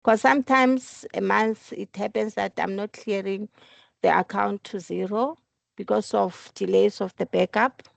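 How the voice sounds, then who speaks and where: Woman with a very creaky voice. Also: medium pitch, fairly even intonation, a little slow, no room sound. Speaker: employee explaining her accounting work. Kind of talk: work meeting, explaining procedures step by step. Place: recorded online work meeting.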